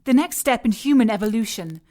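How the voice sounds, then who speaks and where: An actress playing a driven, composed scientist villain, formal and condescending, in an audio drama. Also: female voice, on the high side, expressive, brisk, darkish tone, natural voice. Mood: driven, smug.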